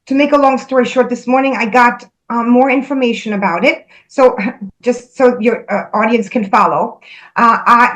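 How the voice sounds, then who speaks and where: A woman's voice: fairly high pitch, rough recording. A woman speaking as a spiritual medium in casual conversation, earnestly relaying messages she says she hears.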